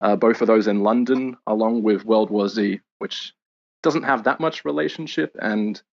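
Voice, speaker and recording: An Australian man, a game lead designer, answering interview questions in a measured, explanatory way with filler pauses. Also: on the low side, creaky. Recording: dull lo-fi audio.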